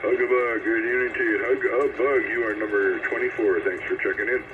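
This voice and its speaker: A man's voice: medium pitch, steady tone, brisk. A man hosting a CB radio roll call, checking in stations in a courteous, procedural manner. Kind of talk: CB radio net check-in calling. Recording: noisy, tinny CB radio transmission. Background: loud radio static.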